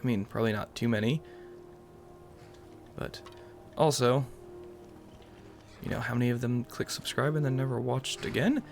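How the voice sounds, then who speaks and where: Gamer guy, mid voice with lots of fry, rambling casually over a playthrough, faint music. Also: medium pitch, steady tone, normal speed, long pauses. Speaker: gaming YouTuber. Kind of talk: casual roleplay playthrough commentary.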